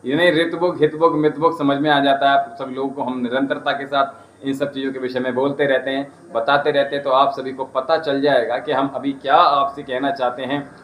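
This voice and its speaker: A man's voice: medium pitch, flat delivery, a little fast, a bit bright, reverberant. A man teaching yoga and ayurveda on a live stream, earnestly urging listeners with repeated polite requests, with a western Uttar Pradesh Hindi accent. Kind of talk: live-streamed spiritual health talk.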